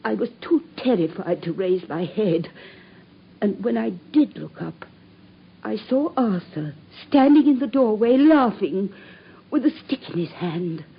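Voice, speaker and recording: A woman's voice: medium pitch, animated, measured pace, gravelly, husky, muffled recording, exaggerated voice. A distraught widowed landlady. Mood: distressed. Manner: distressed, pleading, breaking into tears. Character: anxious widowed landlady, middle-aged mother. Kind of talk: radio drama acting.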